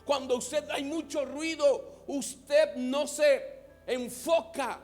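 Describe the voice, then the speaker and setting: Mexican pastor, very high voice, slow and deliberate sermon, soft music underneath. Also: male voice, fairly even intonation. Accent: Mexican Spanish. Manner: preaching, has the congregation repeat words.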